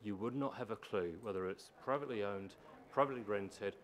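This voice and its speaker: A man with a low voice presenting a prepared submission at a public meeting, explaining and repeating points for emphasis. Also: fairly quick. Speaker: housing organisation manager presenting to a council. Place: council meeting chamber. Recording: meeting room with faint background noise.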